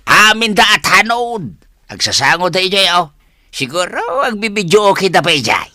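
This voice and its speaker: An actor playing an excitable, scolding village elder in a Philippine radio comedy, exclaiming in an animated way. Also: mid-range voice, moderate pace, no room sound, clean studio sound. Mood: chatty.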